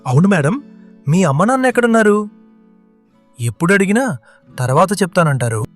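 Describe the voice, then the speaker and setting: Guy doing a polite caring young hero for a cartoon story, highish and animated. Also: moderate pace, soft background music.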